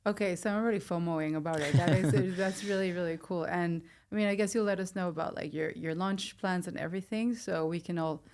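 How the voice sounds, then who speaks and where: A woman with a slightly low voice interviews a guest on a podcast. Her delivery is casual and conversational. She sounds informal and chatty. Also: fairly quick, hardly any pauses, darkish tone.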